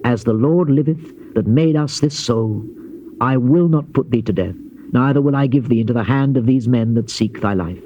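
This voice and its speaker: A man's voice: mid-range voice, moderate pace, dark tone, lightly acted. A man playing a weak, fearful king with formal, grave speech in a biblical audio drama on old cassette. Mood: grave, uneasy. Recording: muffled, noisy old cassette tape.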